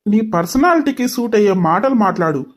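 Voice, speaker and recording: A man's voice: high-pitched, expressive, brisk. Man acting an arrogant, short-tempered young boss. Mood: mocking, defensive. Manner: curt, mocking, mixes in English phrases. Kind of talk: dramatized story dialogue.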